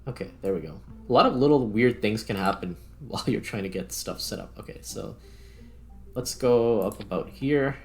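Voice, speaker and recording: A man's voice: lowish voice, expressive, moderate pace, a little mellow. A man doing casual let's-play commentary, thinking aloud informally as he works through a game. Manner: informal, muttering to himself while working. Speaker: gaming video host, player. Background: background music.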